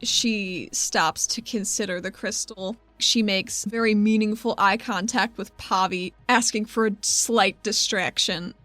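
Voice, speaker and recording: A woman's voice: medium pitch, fairly even intonation, measured pace, few pauses, a bit bright, no echo, faint music behind. A woman playing a tabletop role-playing game, chatting casually and narrating her character in a playful, informal way.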